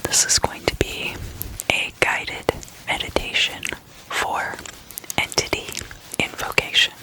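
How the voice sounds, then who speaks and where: A person whispering a guided meditation in a breathy, unvoiced voice, giving instructions in halting, spaced-out phrases. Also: low-quality recording.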